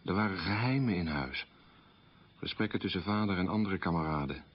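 A man playing someone who looks back on a wartime childhood in measured, plain sentences, as in a radio monologue. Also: low voice, highs cut off. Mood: sober. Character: man recalling his childhood in a wartime collaborator family. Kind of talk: radio documentary-drama monologue, reminiscing.

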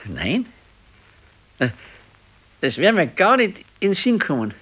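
A Bavarian man in his sixties, wistful and quietly stubborn. His voice sits a little high and moves in wide, lively swings. He muses in short, plain colloquial phrases, as in a naturalistic radio play about an ailing old husband. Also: a little slow, husky, full character voice. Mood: defensive, sheepish.

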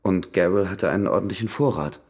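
An actor playing a guarded, hardened male ex-convict in a radio play, speaking tersely with a husky, gravelly voice. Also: sits low, quick pace, a little mellow. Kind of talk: radio play dialogue and inner monologue.

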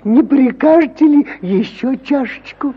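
Garrulous provincial judge, easily amazed, slow drawn-out delivery, husky, vintage muffled radio drama sound. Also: male voice, lively intonation, heavily acted. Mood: coaxing. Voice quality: husky, high-pitched. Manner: rambling, drawn-out, swooping exclamations. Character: garrulous, hospitable old-time provincial judge, easily astonished. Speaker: actor in an audio play. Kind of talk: 1950s Soviet radio theatre acting. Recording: muffled 1950 radio play recording.

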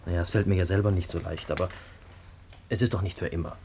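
Young guy in love, talks fast, eager and reassuring, old radio play with faint noise. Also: low voice, steady tone. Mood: tender, excited. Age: young adult. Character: eager, reassuring young lover planning escape. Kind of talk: naturalistic 1950s German radio drama.

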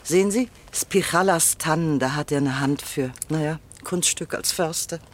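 A woman's voice: sits low, normal speed. An actress playing a chatty, nosy grandmother in a radio crime drama, homely and rambling in manner. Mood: chatty, fretful. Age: older. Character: chatty, nosy older housewife neighbour, a grandmother.

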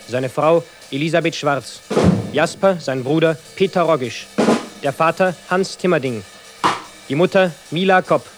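Higher-pitched male announcer over loud drum music. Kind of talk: formal radio play cast announcement. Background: loud music with drums.

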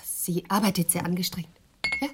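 A woman's voice: fairly low pitch, steady tone, normal speed, noisy background, lightly acted. A woman acting a chatty, cheerful visitor who laughs easily in a radio drama. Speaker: visiting acquaintance.

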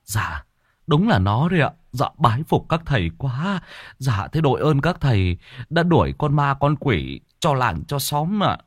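A man's voice: medium pitch, big pitch swings, measured pace, no echo, clean studio sound, voice pushed up. A man voicing a credulous, deferential old village man who speaks hesitantly and is easily startled. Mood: awed, deferential.

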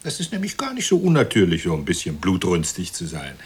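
An actor playing a smug, callous, middle-aged husband in a radio play, with a sneering, opinionated delivery. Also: male voice, low voice, huge pitch swings, a little fast, exaggerated voice. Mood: cynical. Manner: sneering, opinionated, self-satisfied. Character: smug, callous well-off husband commenting on television violence. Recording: clearly noisy recording.

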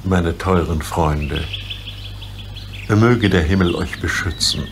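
A man's voice: deep voice, slow pace. A man playing a solemn, tender-hearted emperor who speaks formally, in a children's radio play. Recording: noisy radio play with birdsong ambience.

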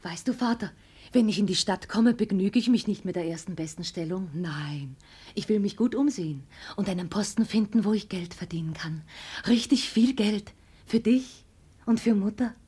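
An actress playing a devoted, eager young country daughter in a 1950s German radio play, earnest, tender and impulsive. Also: female voice, mid-range voice, moderate pace, dark tone, husky. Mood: earnest, determined.

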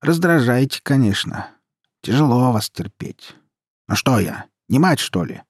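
Man putting on a creaky, cranky mother. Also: sing-song, a little slow, no echo, clean studio sound, full character voice. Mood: weary grumbling. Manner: grumbling, shrill when upset. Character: cranky, worn, middle-aged mother of two grown children.

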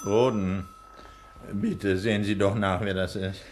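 A man's voice: lowish voice, fairly even intonation, unhurried, darkish tone, a little hiss or hum, close to an everyday voice. An actor playing a courteous, concerned doctor and father in a 1960s German radio crime drama. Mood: businesslike.

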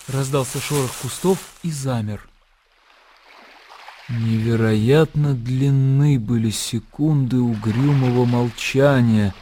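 A man giving a theatrical, expressive narration of a literary radio play. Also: mid-range voice, slight background noise. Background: music.

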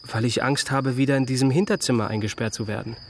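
A man's voice: mid-range voice, steady tone, fast, slight background noise, lightly acted. An actor in a radio drama playing an awkward, boyish young man who talks hesitantly and evasively. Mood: sheepish, evasive.

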